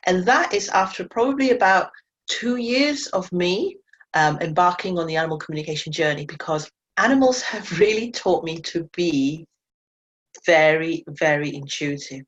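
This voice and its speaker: A woman's voice: lowish voice, animated, moderate pace. Earnest woman teacher on muffled webinar audio. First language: not English. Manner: earnest, reflective, with self-corrections. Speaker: animal communication teacher. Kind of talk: webinar talk to learners. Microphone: video call mic.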